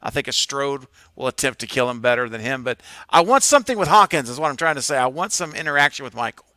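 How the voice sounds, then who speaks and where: A man's voice: mid-range voice, very animated, quick pace, sharp tone, no echo. A man appearing as a guest on a live stream, giving his opinions at length in a playful, joking manner. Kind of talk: casual live-stream chat.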